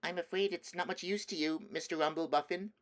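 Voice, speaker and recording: A man's voice: a little high, fairly even intonation, fairly quick, pitched above the performer's own voice, natural voice. A man voicing a polite, cheerful little girl in a storybook. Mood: polite, apologetic.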